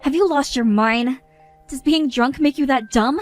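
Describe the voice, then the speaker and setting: A woman's voice: high-pitched, unhurried. A fed-up young bride who scolds with firm indignation, played by a woman. She sounds worn out by the people around her, with faint music in the background. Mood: indignant.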